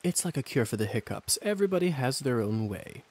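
A man's voice: mid-range voice, very animated, a little fast, darkish tone. An actor playing a suave, sly vampire officer who teases as he instructs, in video game style. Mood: sly instructing. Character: suave, sly male vampire hussar, teasing mentor.

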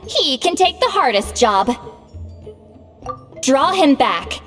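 A woman playing a proud, youthful-sounding shaman in an anime game, lecturing in a brisk, exasperated manner. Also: a little high. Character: proud, bossy, youthful-sounding female shaman called Granny. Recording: long reverb, music behind.

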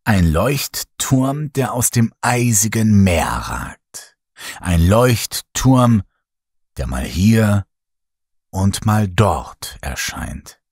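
A man reading a horror story aloud in slow, hushed, dramatic narration. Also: fairly low pitch, sing-song, breathy edge, dry recording, clean studio sound. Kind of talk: scripted horror audiobook narration.

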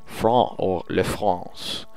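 A man's voice: deep voice, extremely slow, gravelly, darkish tone, clear, resonant tone. A man talking casually in an audio log as a gaming channel host, chatty and thinking aloud.

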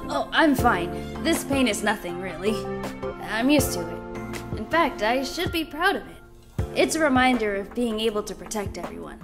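A woman's voice: on the high side, very animated, moderate pace. An actress voicing a stoic, dutiful young male security guard in an anime game, modest, earnest and a little hesitant. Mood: stoic, pained. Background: game background music.

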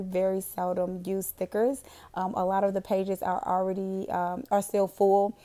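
A young woman with a raspy, hoarse voice from a cold, chatting casually in a voiceover while setting up her monthly budget planner, rambling with lots of fillers. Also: medium pitch, dark tone. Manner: chatty, rambling, with frequent "um" and laughs. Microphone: voiceover recorded separately from the footage.

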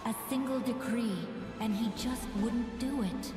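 A woman playing a gentle, thoughtful young mage musician with quiet sass in a video game, measured, in an echoing telepathic voice. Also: a little high, steady tone, over music. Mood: reproachful. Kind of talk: video game character voice lines. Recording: echoing, long reverb game audio.

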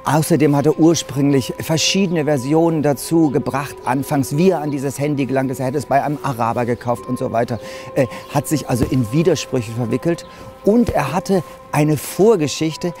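A man's voice: mid-range voice, moderate pace. A man in his forties giving a reporter's journalistic account of a court case. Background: music bed.

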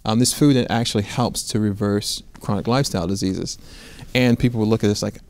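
A man's voice: fairly low pitch, fairly even intonation, brisk. A middle-aged American man telling his story as an interview guest, earnest, often rephrasing as he goes. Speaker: interview guest, restaurant owner. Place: quiet indoor studio set with armchairs. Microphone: lapel mic.

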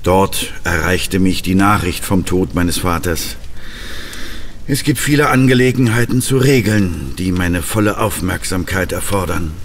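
An actor playing a courteous, formal aristocrat of about forty, master of a castle, in a radio play. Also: male voice, low-pitched, animated, measured pace, a little hiss or hum. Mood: gracious. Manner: urbane, polite, formal.

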